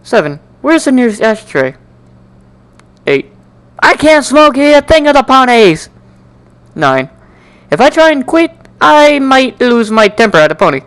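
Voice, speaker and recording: A man doing an amateur dramatic reading of a first-person story in an animated, jokey way, now and then stumbling and repeating words. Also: extremely high pitch, measured pace, long pauses, smooth, no room sound. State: coughing, slightly sick. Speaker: amateur fan fiction reader.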